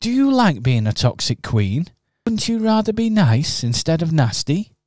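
A man acting in a local radio pantomime, earnest and expressive in his storytelling. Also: fairly high pitch, normal speed, dry recording, clean studio sound. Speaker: pantomime narrator and actor.

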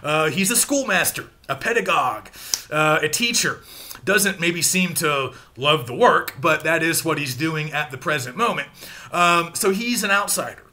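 Bright-voiced American man lecturing, higher-pitched. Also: animated, moderate pace. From the American South. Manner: explanatory, conversational, checking in with "right" and "you know". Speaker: literature lecturer. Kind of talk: informal recorded lecture. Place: small home room, indoors.

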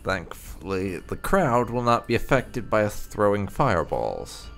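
A man hosts a casual game playthrough in a low voice full of creak. He thinks aloud slowly, in halting phrases with wide swings of intonation. Faint music plays behind him. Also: sing-song, a bit bright. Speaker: gaming video host.

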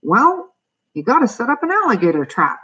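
A narrator voicing a small male exterminator, a deadpan joker with a folksy, confident manner, in an audiobook. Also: mid-range voice, moderate pace, breathy edge, muffled recording, voice pushed up, full character voice. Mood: folksy, assured.

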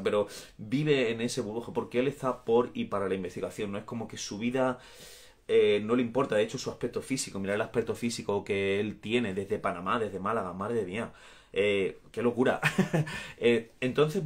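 A man in his thirties with an Andalusian accent, chatting casually on a live stream from a small room at home, reading out viewer comments and laughing often. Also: on the low side. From Andalusia, Spain. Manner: warm, chatty, laughs often, gestures while talking. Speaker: actor answering fans in a live stream. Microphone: phone or webcam at arm's length.

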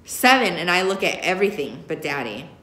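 A woman in her thirties, a teacher reading a young-adult novel aloud in an expressive first-person narrating style. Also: lowish voice, brisk. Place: indoor classroom. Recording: echoey classroom recording.

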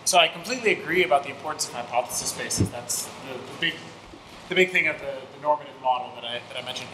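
Middle-aged male academic, mid pitch, musing; reverberant hall, noisy. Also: fast. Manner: thinking aloud, searching for words. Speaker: panelist. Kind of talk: academic panel discussion. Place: seminar room with a panel table. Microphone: table microphone. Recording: echoey hall with background noise.

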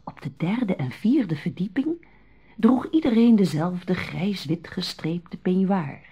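A woman narrating a Dutch radio drama from a script in a soft, husky voice. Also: lowish voice, animated, slow, lo-fi sound, faint music behind.

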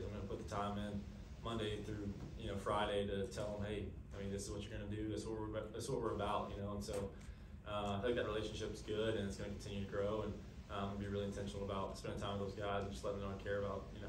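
A young man answering questions at a postgame press conference, earnest and quick, with lots of fillers. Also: fairly low pitch, background noise. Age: young adult. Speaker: college quarterback. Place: press room, seated at a table. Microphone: table mic, camera at a distance. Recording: echoey room, from a distance.

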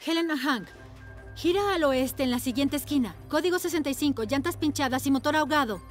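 Woman as cartoon mother motorbike, high voice, patient, encouraging, dispatcher, music underneath. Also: fairly quick, lightly acted. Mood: businesslike. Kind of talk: dubbed children's cartoon acting.

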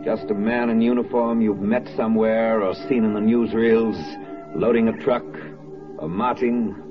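An actor playing a solemn, rhetorical narrator in a 1940s radio drama, with deliberate, oratorical phrasing. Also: male voice, mid-range voice, natural voice. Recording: dull vintage radio recording, faint music.

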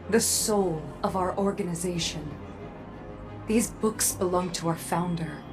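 An actress playing a proud, guarded robot armorer in a video game, speaking in a measured, cool, disdainful manner. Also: female voice, fairly low pitch. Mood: solemn. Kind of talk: video game character acting. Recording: game music under the voice.

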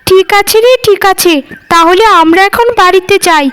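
A woman's voice: very high-pitched, moderate pace, a bit bright. A woman voicing a catty, mocking gossip of a village wife in a cartoon. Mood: chatty, flattering. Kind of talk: cartoon dialogue.

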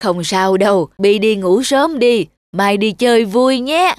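A woman playing a gentle, timid elderly grandmother who sells snacks, in a cartoon. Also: mid-range voice, measured pace, no echo, natural voice. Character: kind, slow, timid elderly grandmother street vendor.

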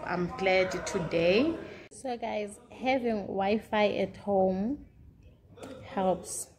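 A woman's voice: medium pitch, creaky. A woman in her thirties, a mother, chatting casually to viewers in a home vlog, teasing and laughing, filmed on a phone held at arm's length indoors. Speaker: family vlogger, mother. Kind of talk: casual vlog chat to camera.

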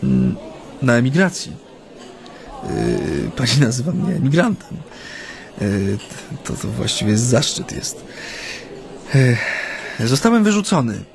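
An actor in a naturalistic radio drama playing a proud, homesick exiled Japanese activist, speaking hesitantly and reflectively with humming pauses. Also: male voice, mid-range voice, wildly swooping pitch, gravelly, heavily acted. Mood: melancholy, dignified. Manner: hesitant, reflective, pausing with hums.

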